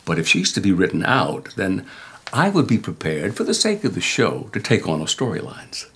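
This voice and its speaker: Deep, husky, pompous old thespian. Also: male voice, huge pitch swings, normal speed, a bit bright, exaggerated voice. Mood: solemn. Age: 60s or older. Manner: pompous, theatrical. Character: pompous, self-serving old male radio actor. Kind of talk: comedy soap acting. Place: office, indoors.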